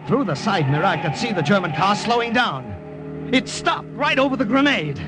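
A man's voice: high-pitched, expressive, moderate pace, over loud music. An actor playing a desperate young male army sketch artist turned spy in 1940s radio drama, talking in an urgent, flustered way. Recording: noisy old radio broadcast.

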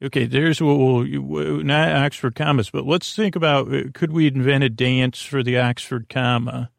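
A man hosting a bedtime podcast in a creaky, lulling voice, rambling hesitantly and drifting off into tangents. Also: medium pitch, fairly even intonation, moderate pace, no room sound, clean studio sound. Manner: hesitant, digressive, full of tangents and trailing thoughts. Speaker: podcast host. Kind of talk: rambling, meandering bedtime monologue.

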